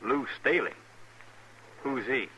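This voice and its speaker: An actor playing a stubborn, forthright young cowboy husband in an old radio western, with a rural American accent. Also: male voice, medium pitch, expressive, slow pace, sharp tone, slightly rough, exaggerated voice, rough recording. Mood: puzzled. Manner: plain, forthright. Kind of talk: mid-century American radio western acting.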